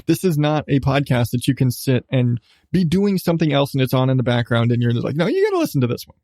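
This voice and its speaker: A man hosting a podcast, giving a casual, rambling review with halting starts, repeated phrases and an irreverent, sweary manner. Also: mid-range voice, big pitch swings, dark tone, no room sound, studio quality.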